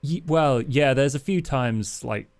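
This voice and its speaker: A man's voice: medium pitch, unhurried, a little mellow. Laid-back man rambling in character. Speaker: player. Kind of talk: in-character roleplay.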